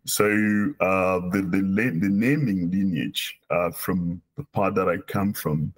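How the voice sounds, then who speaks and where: Cameroon-born man in his forties, husky and lowish, halting interview guest on a webcam call. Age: 40s. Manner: hesitant, many fillers and restarts. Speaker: guest, operational research professor. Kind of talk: casual interview chat. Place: small office indoors. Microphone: video call webcam.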